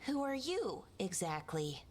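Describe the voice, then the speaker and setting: A woman playing an eager, excitable young elf researcher in a video game, slightly scattered and self-correcting. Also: medium pitch, measured pace, a little hiss or hum. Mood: wary. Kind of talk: video game character acting. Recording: music behind the voice.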